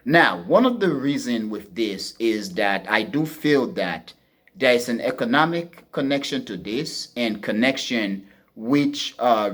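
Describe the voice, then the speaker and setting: A man's voice: fairly low pitch, fairly bright tone. A man delivering a semi-improvised geopolitical commentary as a podcast host, emphatic and repeating phrases for stress.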